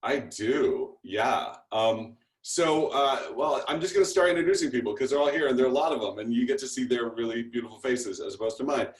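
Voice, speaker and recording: A man talking casually on a video call, in a halting, self-deprecating way. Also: medium pitch. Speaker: TV series creator introducing his cast. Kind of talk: casual spoken interview. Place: indoor room on a video call. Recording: echoey room over video call.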